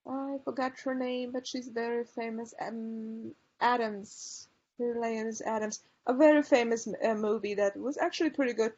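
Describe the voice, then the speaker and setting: A woman with a mixed Polish and Chicago accent presents to a room of peers, recommending books eagerly with many ums and restarts. Her voice sits a little high. The recording sounds dull and lo-fi. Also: a little mellow. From Poland. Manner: enthusiastic book recommendations with frequent fillers. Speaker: librarian presenter. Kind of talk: conference presentation.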